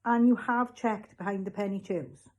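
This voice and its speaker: A woman voicing an eccentric, eager male newsagent in a storybook, deliberate and full of salesman's enthusiasm. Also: medium pitch, very animated, darkish tone, natural voice. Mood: slow, puzzled. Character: eccentric, eager male newsagent pushing odd special offers. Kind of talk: storybook character voice.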